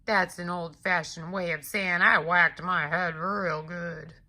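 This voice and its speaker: A woman's voice: fairly low pitch, steady tone, pushed and bright, pitched below the performer's own voice, full character voice. A woman voicing a big, hungry male giant who tells his side of a storybook tale in an aggrieved, exclamatory way. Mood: rueful, dramatic. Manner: exclamatory, indignant, deliberate storyteller. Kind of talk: expressive children's storybook read-aloud.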